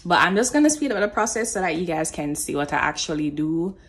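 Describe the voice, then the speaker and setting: A woman's voice: fairly low pitch, lively intonation, quick pace. A woman in her twenties with a Jamaican accent, chatting casually in informal, Creole-tinged phrasing as she films a cooking vlog in a home kitchen. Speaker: cooking vlogger. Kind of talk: casual chat while cooking.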